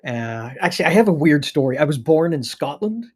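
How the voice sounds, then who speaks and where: A man's voice: on the high side, sing-song, fairly quick. A middle-aged Canadian man, an animation producer being interviewed, telling his history in a hesitant, wry, casual way with many restarts.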